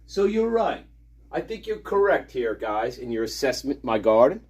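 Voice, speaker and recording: A man's voice: mid-range voice, big pitch swings, moderate pace, a little mellow, husky. A man hosting a livestream, giving profane, heated commentary and repeating himself as he rants about a video he is playing. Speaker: livestream host. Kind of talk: ranting commentary on a played video.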